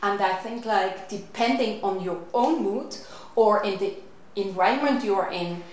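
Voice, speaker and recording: A woman from Switzerland giving thoughtful, measured interview answers. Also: mid-range voice, darkish tone. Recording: very echoey room with ambient noise.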